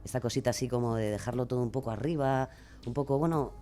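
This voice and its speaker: A woman with a Castilian Spanish accent chatting casually as a podcaster guest in a radio interview, expressive, rambling and self-deprecating. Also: rumbling low, quick pace, faint music behind. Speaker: guest, true-crime podcaster.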